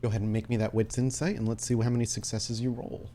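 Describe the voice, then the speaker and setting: A man running a tabletop roleplaying game as game master, telling the story in a dramatic, deliberate way with pauses. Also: on the low side, flat delivery, warm tone, a little hiss or hum.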